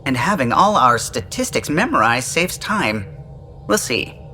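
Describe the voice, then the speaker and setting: A proud male starship engineer in a video game. His voice is bright and swings animatedly as he speaks with fussy technical precision. Music plays behind him. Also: medium pitch, sing-song, a little slow, full character voice. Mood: enthusiastic, fussy. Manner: precise, enthusiastic about technical detail. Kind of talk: video game dialogue acting.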